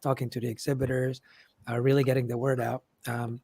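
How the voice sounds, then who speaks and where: A man with a hoarse, worn-out voice hosting a casual live Q&A, rambling with lots of fillers and restarts as he answers comments. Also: medium pitch, little pitch movement, a little fast, warm tone. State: hoarse, losing his voice. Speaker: event organizer hosting a live update. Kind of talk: casual livestream Q&A.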